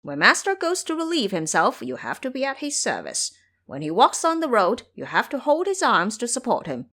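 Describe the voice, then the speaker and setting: A woman playing a cocky, scheming male monkey disciple in an audio drama, with an animated, commanding manner. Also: fairly high pitch, normal speed, full character voice. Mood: bossy.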